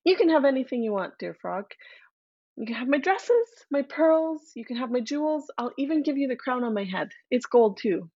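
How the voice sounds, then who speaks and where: A woman's voice: sits high, lively intonation, normal speed, dark tone, breathy edge, no echo, dull audio, pitched above the performer's own voice, exaggerated voice. A woman voicing a spoiled young princess who talks in a careless, dismissive way. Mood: flippant.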